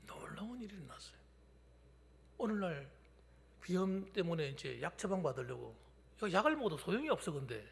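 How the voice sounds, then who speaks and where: A male pastor in his fifties preaching a midweek sermon, shifting between loud declarations and hushed whispers. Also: medium pitch, creaky, slight background noise. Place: church sanctuary during a midweek service. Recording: long hall reverb from a church.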